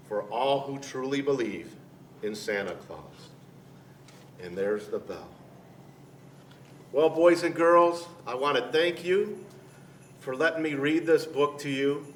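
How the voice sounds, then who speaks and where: A man reading a picture book aloud to children in a deliberate, dramatic storyteller's manner. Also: medium pitch. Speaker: storyteller dressed as Santa Claus, reading to children. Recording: echoey room, distant mic, faint noise.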